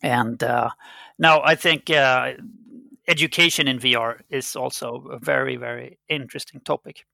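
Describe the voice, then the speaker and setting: Man, middle-aged, Swedish accent, interview guest, halting conversational delivery, crisp forward tone, vocal fry. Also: mid-range voice, steady tone, sharp tone, no echo. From Sweden. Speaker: interview guest, game company CEO.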